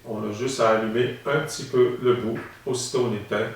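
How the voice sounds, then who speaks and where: A man from Saskatchewan, calmly explaining and demonstrating as an instructor, often pausing with hesitations. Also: mid-range voice. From Saskatchewan, Canada. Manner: calm, explanatory, with frequent hesitations. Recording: very echoey room, mic at a distance.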